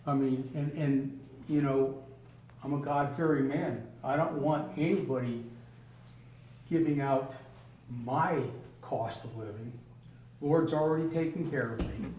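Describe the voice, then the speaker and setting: A man speaking earnestly at a town meeting's public comment, often pausing with ums. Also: medium pitch, steady tone, darkish tone, highs cut off. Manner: earnest, hesitant, many ums and I means. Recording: echoey room, distant mic.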